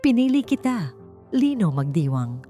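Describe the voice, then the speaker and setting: A woman's voice: mid-range voice, sing-song, unhurried, warm tone, faint music behind, voice pushed up. Female narrator as giant ancestral Filipino tree spirit, male, solemn and authoritative, audiobook fantasy. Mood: approving. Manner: grave, commanding.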